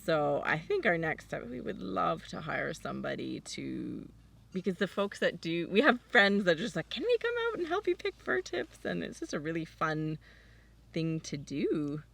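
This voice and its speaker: A woman's voice: lowish voice, huge pitch swings, moderate pace, creaky, fairly bright tone. A woman who runs a forest farm, chatting casually in an interview with lots of fillers and sometimes dropping to a whisper. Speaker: forest farmer. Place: outdoors on a farm. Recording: distant mic, outdoor noise with passing vehicles.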